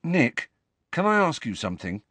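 A man's voice: fairly high pitch, very animated, normal speed, fairly bright tone, husky, no room sound, voice pushed up, full character voice. A man voicing an impatient, stubborn teenage wizard boy, speaking urgently and pressing with questions. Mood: pleading.

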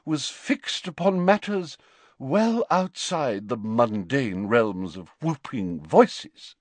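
Male reader putting on a lofty dramatic witch-seer, high wavering voice, dry studio sound. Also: big pitch swings, takes it slowly, no room sound, full character voice. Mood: haughty mystic. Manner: theatrical, mystical, swooping high and wavering. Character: eccentric haughty middle-aged woman seer, dramatic.

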